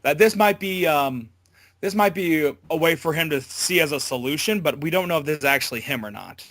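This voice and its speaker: Halting, higher-pitched man, close dry sound. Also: animated, a bit bright, dry recording. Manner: halting, hesitant, loosely worded. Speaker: voice actor being interviewed. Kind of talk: casual interview chat.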